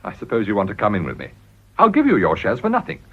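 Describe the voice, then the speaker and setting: Ruthless British schemer, radio drama, blustering and wheedling, very animated pitch, rough husky tone, muffled recording. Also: male voice, fast talker, full character voice. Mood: persuasive. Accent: British English. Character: ruthless, desperate, smooth-talking male businessman and political climber. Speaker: actor. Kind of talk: radio play acting.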